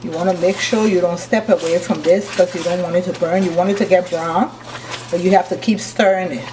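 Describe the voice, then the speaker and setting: A woman from Liberia with a Liberian accent, calmly explaining each step of a recipe while cooking in her home kitchen. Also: on the low side, a little fast. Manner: calm, step-by-step instructions while stirring. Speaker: cooking channel host. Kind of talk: casual cooking demonstration. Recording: distant mic with frying noise. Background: sizzling pot and stirring.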